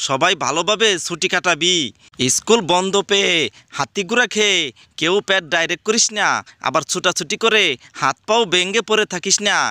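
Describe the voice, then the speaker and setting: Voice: mid-range voice, brisk, bright, forceful tone, no echo, close to an everyday voice. Someone voicing a scolding cartoon schoolteacher. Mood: stern. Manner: scolding, exclaiming. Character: strict exasperated male schoolteacher. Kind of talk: cartoon voice acting.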